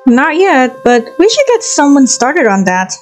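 A cheeky young mercenary woman acted by a woman with a high voice. She is brash and out for fun, a bold fantasy game fighter over music. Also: big pitch swings, unhurried. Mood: bold, persuasive. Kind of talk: fan dub voice acting of a fantasy story game. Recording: music behind the voice.

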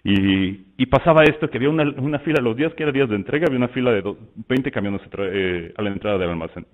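A man from Mexico with a Mexican Spanish accent, walking through software in a loose, conversational webinar style full of fillers and restarts. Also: mid-range voice, animated, very fast. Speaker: software presenter. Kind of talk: webinar product walkthrough. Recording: tinny phone-like line.

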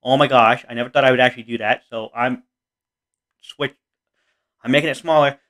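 An American man in his thirties giving casual reaction commentary in a halting, repetitive, earnest way. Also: mid-range voice, fairly even intonation, fairly bright tone. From Oregon, United States. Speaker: reaction video host. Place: small room indoors.

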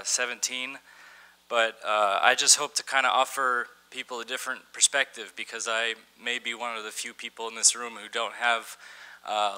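A young man at a podium microphone in a big dark lecture hall, his voice very bright and forward. He speaks haltingly, full of "uh"s and restarts. Wry, offhand asides break into his conference talk. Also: medium pitch, clear, resonant tone. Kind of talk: conference talk with some reading aloud.

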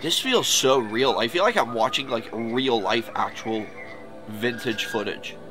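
A man's voice: medium pitch, expressive, measured pace, music in the background. A man in his thirties with a stuffy, nasal voice, chatting casually and rambling as he hosts a reaction video from a small room. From New York, US. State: congested, stuffy nose. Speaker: YouTube reaction host. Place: small room full of horror collectibles.

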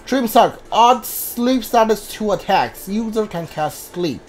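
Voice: medium pitch, lively intonation, faint music behind. A video game player giving casual, hesitant commentary on a playthrough, muttering and thinking aloud. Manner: hesitant, halting, muttering to self.

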